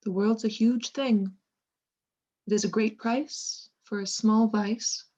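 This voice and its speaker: A woman's voice: mid-range voice, steady tone, slow pace, warm tone, dull audio. A woman playing a worldly, outspoken lady's maid in a Shakespearean radio play, with a wry, frank manner. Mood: wry, teasing.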